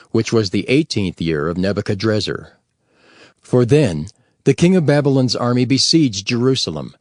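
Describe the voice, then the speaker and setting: A man gives formal scripture narration. His voice is on the low side and measured. The sound is close and dry, with no room on it. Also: no room sound.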